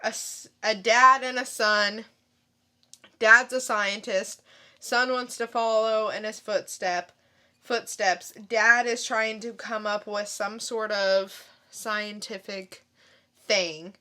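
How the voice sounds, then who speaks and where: A woman chats casually as a podcast co-host. Her voice is bright and forward, at a middling pitch. She takes her time, often halting as she thinks aloud. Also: steady tone. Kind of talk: casual comic book chat.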